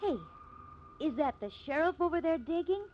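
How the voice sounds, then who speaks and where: An actress playing a sardonic, wisecracking wife in a 1950s radio drama, dry and sarcastic. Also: female voice, extremely high pitch, expressive, moderate pace. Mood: wry curiosity. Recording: dull, faintly noisy old radio recording.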